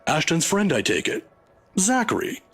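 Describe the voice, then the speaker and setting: A man voicing a gentle, courteous old male professor in a read-aloud horror visual novel who speaks politely and softly. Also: a little high, wildly swooping pitch, moderate pace, gravelly, slightly rough, voice pushed up, exaggerated voice. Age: older. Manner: polite, soft-spoken, chuckling lightly.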